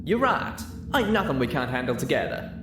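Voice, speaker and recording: A frank, homespun female farm pony, as a man reads her, with a Southern US drawl. The voice is lifted and lively, in a storybook telling over music. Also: mid-range voice, a little fast, reverberant, voice pushed up, natural voice. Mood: resolute. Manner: folksy drawl. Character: plain-spoken, down-to-earth female farm pony.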